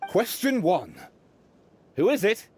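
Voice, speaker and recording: An actor playing a showy, declamatory quizmaster who poses solemn riddles in a video game. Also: male voice, sits high, very animated, slow pace, a little hiss or hum, full character voice. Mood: grand, solemn. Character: theatrical male quizmaster posing solemn riddles. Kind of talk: video game character acting.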